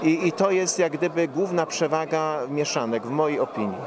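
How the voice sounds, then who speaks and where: A middle-aged man giving formal, measured expert explanations as an interviewed professor. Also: a little high. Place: interview stand at a busy indoor forum. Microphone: handheld reporter microphone held out to him. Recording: busy trade event crowd noise.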